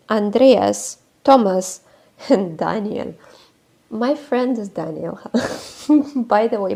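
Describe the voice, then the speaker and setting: Slow-talking woman, chatty and frank. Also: a little high, a little mellow. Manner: chatty, hesitant with many fillers and restarts. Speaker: YouTuber. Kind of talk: casual political commentary.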